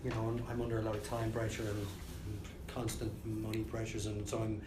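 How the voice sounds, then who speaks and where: A man's voice: on the low side, little pitch movement, brisk, background noise. Rambling Irish man in a roomy hall. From Ireland. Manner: rambling, restarts often, chuckles. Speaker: film director. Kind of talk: casual interview chat. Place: busy event venue. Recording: roomy hall with loud chatter.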